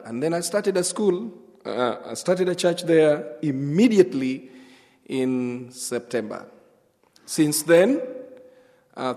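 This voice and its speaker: A man with a Kenyan accent, whose first language is Luo, giving his testimony as a guest pastor, speaking earnestly with pauses and restarts. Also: mid-range voice, darkish tone. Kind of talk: church testimony. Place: church sanctuary. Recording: echoey church hall.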